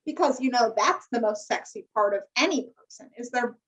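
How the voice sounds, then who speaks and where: A woman's voice: a little high, lively intonation, brisk, rough recording. An American woman co-hosting a podcast in casual, emphatic chat peppered with slang. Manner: emphatic, uses swearing and slang. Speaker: podcast co-host. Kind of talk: casual chat about a TV dating show.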